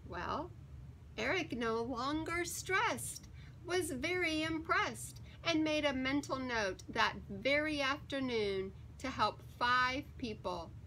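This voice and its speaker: High, bright-voiced woman reading slowly to kids. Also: a little hiss or hum, low-quality recording. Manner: deliberate, animated, emphatic. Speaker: story time reader. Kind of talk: expressive picture-book storytelling for children.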